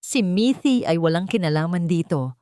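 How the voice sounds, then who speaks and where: Proud anguished father played by a woman, defiant, emotional delivery, lively pitch, dry sound, audio drama. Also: normal speed, dry recording, lightly acted. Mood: pleading. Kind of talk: melodramatic audio drama acting.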